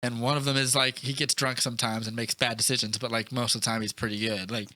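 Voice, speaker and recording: A man co-hosting a casual movie podcast, talking in an animated, opinionated way and acting out quotes as he goes. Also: medium pitch, fast talker, dry recording. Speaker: podcast co-host.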